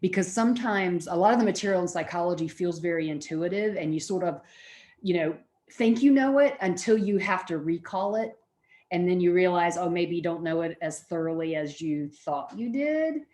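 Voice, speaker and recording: A woman's voice: fairly low pitch, lively intonation, moderate pace, a little mellow. A middle-aged woman giving an informal college lecture, explaining things in a conversational way.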